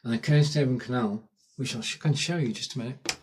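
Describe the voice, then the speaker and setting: A man's voice: mid-range voice, fast talker, slight background noise. A man from Lancashire with a northern English accent, hosting a casual live stream and chatting with viewers in a rambling, friendly way. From Lancashire, England. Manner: chatty, thanks viewers by name, rambling asides. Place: home in rural England.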